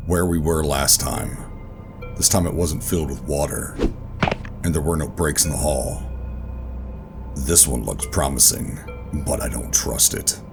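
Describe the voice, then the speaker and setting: Male actor as guarded operative, rock-bottom pitch, weary, brooding, deliberate, sci-fi horror audio drama, prominent musical backing. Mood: terse, resolute. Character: weary, guarded male government operative narrating his own ordeal. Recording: music under the voice.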